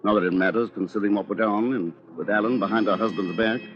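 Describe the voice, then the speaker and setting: Man acting scheming attorney, low pitch, pompous formal diction, old radio drama, dull lo-fi sound. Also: steady tone, quick pace, lightly acted. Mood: calculating. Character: cold, conniving male attorney, cleverly manipulative. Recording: muffled old radio recording, music behind.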